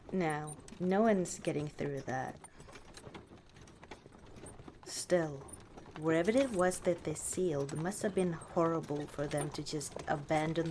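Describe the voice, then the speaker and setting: A woman playing a warm, teasing orc chieftain wife in an unhurried, dryly dismissive ASMR roleplay monologue. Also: low voice, slight background noise. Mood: reassuring, teasing.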